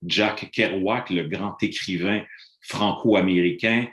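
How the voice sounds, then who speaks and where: A middle-aged man gives a measured panel talk as a university lecturer over a video call, pausing often on "euh". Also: low voice, steady tone, fairly bright tone. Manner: measured, explanatory, frequent "euh" hesitations. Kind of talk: online panel presentation. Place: room in Montreal, remote presentation. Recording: roomy video call audio.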